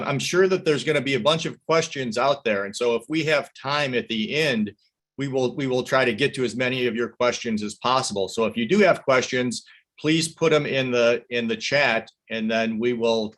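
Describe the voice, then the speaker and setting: A man's voice: mid-range voice, fairly bright tone. A man moderating an online welcome session for students, often stammering and repeating words as he hands over to each speaker. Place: video call.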